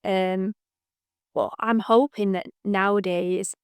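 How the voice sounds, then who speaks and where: A woman in her thirties, a business owner speaking as an interview guest, reflective and soft-spoken, trailing off with ums. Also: medium pitch, fairly even intonation, slow pace, clean tone, dry recording, studio quality. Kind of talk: casual interview chat.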